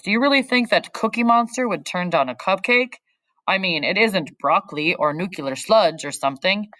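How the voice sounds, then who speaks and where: A woman voicing a silly, loud boy who likes to argue with his classmates. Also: lowish voice, no room sound, recorded from a distance.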